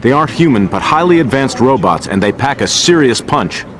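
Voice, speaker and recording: Male game superhero: medium pitch, animated range, earnest, confident. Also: brisk, slight background noise. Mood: determined. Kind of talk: video game cutscene acting. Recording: music behind the voice.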